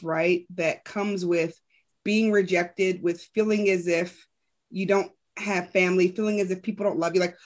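A woman's voice: mid-range voice, darkish tone. Woman guest from New Jersey, earnest, reflective storyteller. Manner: earnest, thoughtful, sometimes halting. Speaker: guest speaker. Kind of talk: conversational storytelling.

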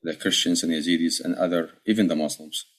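A man in his forties, born and raised in Iraq, answering interview questions as a deacon, measured and full of pauses and restarts. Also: deep voice, fairly even intonation, slightly rough. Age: 40s. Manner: measured, many fillers and restarts. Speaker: deacon, podcast guest.

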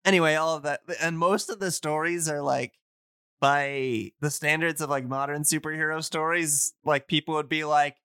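A man's voice: a little high, steady tone, normal speed, pushed and bright, smooth, dry recording, clean studio sound. A man hosting a podcast in casual chat, rambling enthusiastically and often stopping to correct himself. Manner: rambling, hesitant, self-correcting. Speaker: podcast host. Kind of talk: casual chat reviewing old radio shows.

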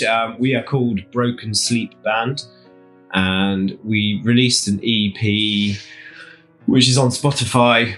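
A man's voice: lowish voice, fairly bright tone, poor audio. A man chatting casually as a podcast guest, hesitant, easygoing and agreeable. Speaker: podcast guest, band member. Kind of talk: casual chat.

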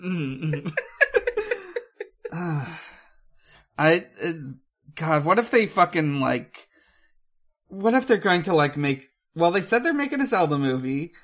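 A man's voice: high-pitched, huge pitch swings, takes it slowly, pauses often, fairly bright tone. A man co-hosting a podcast, talking casually about film in a hesitant way, thinking aloud as he goes. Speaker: podcast co-host.

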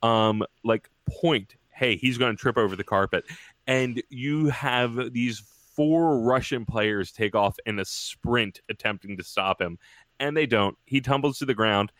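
A man co-hosting a sports podcast, telling jokes in a wry, deadpan way. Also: medium pitch, measured pace, dry recording. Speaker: podcast co-host. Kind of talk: casual comedic sports chat.

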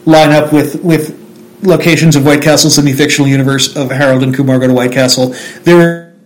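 A man's voice: medium pitch, steady tone, a little fast, faint music behind, poor audio. A man with a Central New Jersey accent, chatting casually and rambling through tangents with many restarts. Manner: rambling, self-interrupting, restarts a lot. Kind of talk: casual chat.

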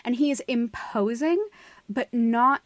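Woman, podcast co-host, casual discussion, gushing and emphatic, sweary, unhurried delivery, dry sound. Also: a little high, no room sound. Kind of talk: animated casual discussion and critique.

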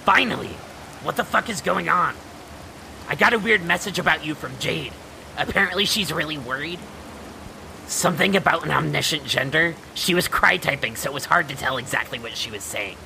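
Actor as sharp-tongued alien woman, anxious friend, very creaky raspy voice, audio drama, rainy background noise. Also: medium pitch, expressive, brisk, heavily acted, low-quality recording. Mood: impatient, worried. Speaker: voice actor in a fan dub. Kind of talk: fan-dub audio drama acting.

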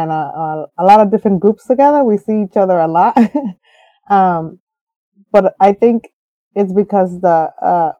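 A woman guest on an interview, talking casually and haltingly with many fillers and restarts. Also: on the low side, no echo, muffled recording. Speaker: program member guest.

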